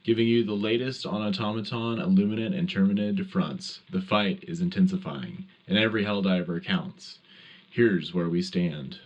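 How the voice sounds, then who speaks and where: A man's voice: low-pitched, normal speed, muffled recording. A man playing a solemn, patriotic wartime radio anchor, reading a scripted news broadcast in a declarative announcer style. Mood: grave, rousing.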